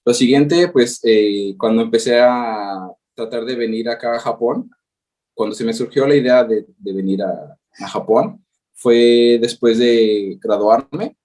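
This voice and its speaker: A man's voice: medium pitch, unhurried, darkish tone. A Mexican man in his thirties with a Mexican accent, giving a webinar talk over a video call, hesitant with many fillers. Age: 30s. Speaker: guest presenter. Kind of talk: webinar presentation. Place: home, working remotely in Japan.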